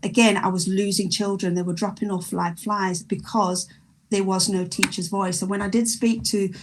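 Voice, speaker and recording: A woman with a South African accent, a primary school teacher talking in an online panel discussion, chatty and animated with many restarts. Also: medium pitch, normal speed, background noise.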